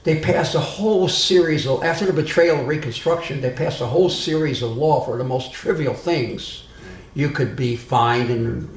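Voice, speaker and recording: A man lectures to a small audience in a roomy, echoing room, with faint ambient noise behind him. He speaks loudly at a middle pitch, often stopping to restart a phrase or add an aside. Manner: loud, digressive, with many restarts and asides. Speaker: speaker giving a history talk. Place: meeting room with a live audience.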